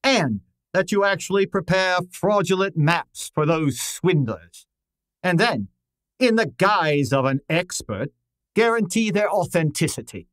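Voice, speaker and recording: A man playing a shrewd, imperious private detective in a radio drama, speaking formally and deliberately. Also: high-pitched, animated, a bit bright, no echo. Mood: stern, accusing. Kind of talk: old-time radio drama acting.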